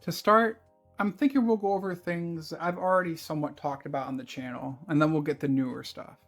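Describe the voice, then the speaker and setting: A man hosting his own channel, with a scratchy, strained voice, talking in a relaxed and chatty way about things he collects. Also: a little high, a little fast, soft background music. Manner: relaxed and chatty, with filler words and light laughs. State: scratchy voice from concert screaming. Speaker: channel host. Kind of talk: casual video review chat.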